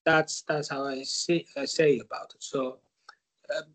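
A man's voice: medium pitch, level tone, measured pace, a bit bright. A man, a guest reflecting on his career in an interview, often repeating words for emphasis. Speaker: guest, former corporate manager. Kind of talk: storytelling interview answers.

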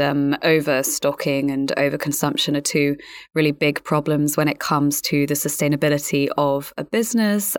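A woman's voice: low-pitched, dry recording, clean studio sound. A woman hosting an interview podcast, polite and chatty, rephrasing as she thinks aloud.

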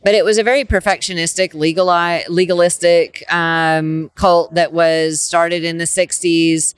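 Earnest woman with a smooth, dry voice. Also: lowish voice, little pitch movement, measured pace, no room sound. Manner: earnest, emphatic, preaching tone. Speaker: podcast guest, ministry leader. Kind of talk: faith-based interview conversation.

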